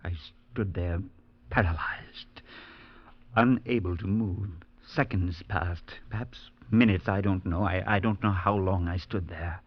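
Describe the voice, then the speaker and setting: An actor playing a calm, grave priest who narrates a ghost story in a measured, low-key way, in a 1940s radio play. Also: male voice, a little hiss or hum. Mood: hushed dread. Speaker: Father Murchison, narrator. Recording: dull lo-fi 1940s radio broadcast.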